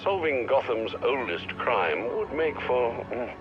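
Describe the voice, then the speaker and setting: An actor playing a genteel, deferential manservant in a video game, refined and formal, heard over a radio. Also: male voice, medium pitch, big pitch swings, unhurried, music in the background. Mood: informative, courteous. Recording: dull radio sound with loud noise.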